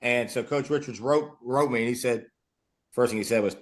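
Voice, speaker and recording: Male co-host, mid-pitched voice, rambling, repeats himself, friendly chat. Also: normal speed. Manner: rambling, repeats words and restarts. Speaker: podcast co-host, former PE teacher. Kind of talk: casual interview.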